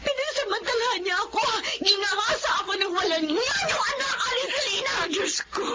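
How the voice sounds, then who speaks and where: A woman's voice: very high voice, animated, a little fast, over music. A woman with a Filipino accent playing a frightened, wronged young woman in anguish in a Tagalog radio drama. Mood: anguished, angry. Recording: dull lo-fi radio drama, clear noise.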